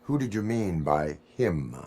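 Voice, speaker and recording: A man voicing a stoic cyborg police officer in a video game, speaking in a formal, stilted, deadpan way. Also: low-pitched, measured pace. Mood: stern, measured.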